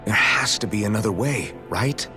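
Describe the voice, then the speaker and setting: A resolute young leader from a fantasy game, acted by a man, with a fairly low voice. He speaks bluntly and earnestly while music plays clearly behind him. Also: moderate pace, lightly acted. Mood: earnest, resolved. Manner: firm, plainspoken, earnest. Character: determined, blunt young male hero and leader.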